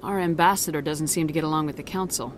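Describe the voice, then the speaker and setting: A woman's voice: low-pitched, steady tone, quick pace, slight background noise, close to an everyday voice. A woman playing a determined military commander in a video game, speaking in a firm, direct, clipped way. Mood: businesslike, probing. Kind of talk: video game cutscene acting. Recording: music behind game dialogue.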